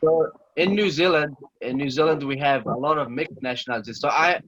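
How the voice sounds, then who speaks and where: A man's voice: a little high, brisk, fairly bright tone, slight background noise. Earnest man on a lo-fi video call. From Chechnya. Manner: earnest, motivational, repeats himself. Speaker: interview guest. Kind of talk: casual video-call interview.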